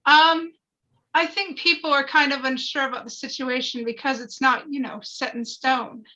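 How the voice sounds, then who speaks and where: Woman playing an anxious, high-voiced wife. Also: fairly even intonation, normal speed, bright, forceful tone, lo-fi sound. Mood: uneasy, hesitant. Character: anxious, earnest wife longing to be a mother. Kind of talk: live script table read.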